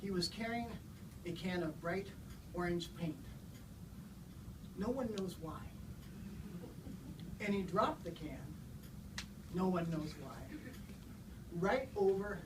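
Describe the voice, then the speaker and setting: A man in his sixties speaking to a live group as a guest storybook reader, in an unhurried, halting way. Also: sits high, expressive. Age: 60s. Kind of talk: informal live introduction and children's storybook read-aloud. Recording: distant mic, noisy room ambience.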